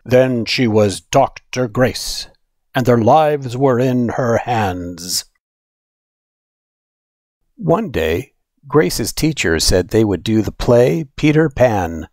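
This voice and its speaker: A man reading a children's story aloud in an expressive, deliberate storytelling manner. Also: mid-range voice, no room sound, clean studio sound. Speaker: storybook reader.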